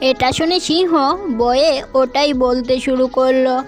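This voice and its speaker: A woman tells a scripted story for young children as its narrator. Her voice is notably high. Light music sits behind the narration. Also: unhurried, darkish tone.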